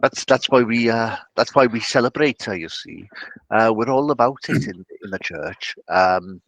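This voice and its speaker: A man playing a tabletop roleplaying game, casually improvising narration and banter in a halting, joking way. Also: on the low side, lively intonation, a bit bright, poor audio.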